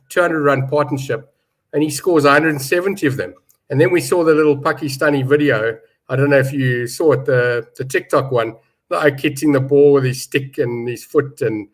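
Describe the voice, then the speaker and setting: A man, chatting candidly as a betting pundit and rambling a little. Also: mid-range voice. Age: 50s. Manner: rambling, candid, laughs easily. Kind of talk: casual chat on a betting show.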